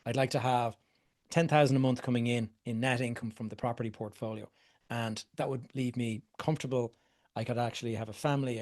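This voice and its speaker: A man's voice: medium pitch, a little mellow. A middle-aged man from Ireland giving a workshop talk to a live group, conversational, often asking a question and then answering it himself. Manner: conversational, repeats words, asks questions and answers them. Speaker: property investment coach.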